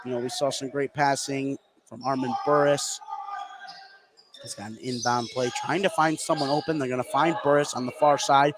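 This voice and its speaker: A man's voice: mid-range voice, fairly even intonation, moderate pace. A man doing live play-by-play radio commentary on a basketball game, describing the action in short running phrases. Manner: clipped present-tense running description. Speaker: play-by-play sports announcer. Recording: live broadcast with clear background noise.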